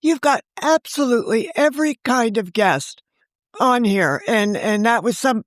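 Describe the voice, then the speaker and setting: A woman's voice: on the high side, lively intonation, measured pace, no room sound, studio quality. A woman around seventy, a guest on a podcast, talking chattily and often speaking over the host. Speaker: novelist and podcast creator, guest. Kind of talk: casual interview chat.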